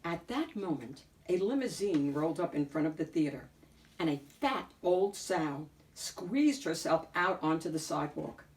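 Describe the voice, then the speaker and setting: A woman narrating a children's picture book in an expressive read-aloud style, with deliberate, dramatic emphasis. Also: deep voice, distant mic. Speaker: storyteller, picture book reader.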